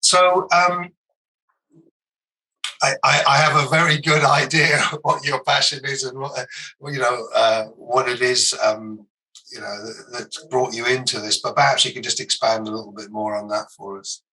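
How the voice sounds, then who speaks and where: Bright-voiced male podcast host. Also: mid-range voice, fairly even intonation, moderate pace, low-quality recording. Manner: hesitant, many fillers before questions. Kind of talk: casual interview chat.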